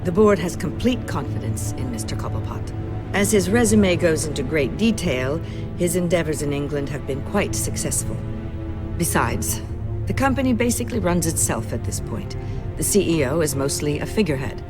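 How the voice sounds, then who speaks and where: An actress playing a businesslike company executive in a video game, formal, measured and coolly apologetic. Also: female voice, low voice, animated. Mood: brisk, firm. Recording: music playing behind the voice.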